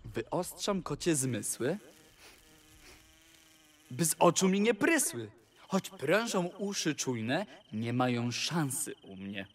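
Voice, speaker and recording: A man playing a sly, boastful talking tomcat servant in a rhymed audio fairy tale, with theatrical, deliberate delivery. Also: fairly high pitch, big pitch swings, heavily acted. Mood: eager, sly.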